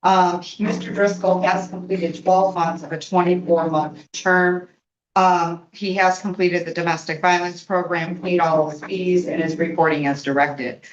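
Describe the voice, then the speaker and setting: A woman's voice: fairly low pitch, level tone, measured pace. A woman giving a formal report to the court as a probation officer, in echoey, muffled courtroom audio.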